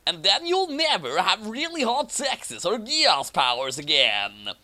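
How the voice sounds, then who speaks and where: A man's voice: high voice, huge pitch swings, unhurried, pushed and bright, voice pushed up, full character voice. A man voicing a threatening politician villain, theatrical and menacing, in a parody story.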